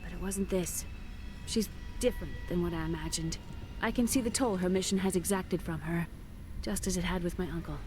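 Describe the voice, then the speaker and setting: Game heroine played by a woman: troubled young daughter, sincere, slightly low voice, faint music. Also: a little fast, a little mellow, close to an everyday voice. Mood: wistful. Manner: reflective, sincere. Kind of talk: video game acting.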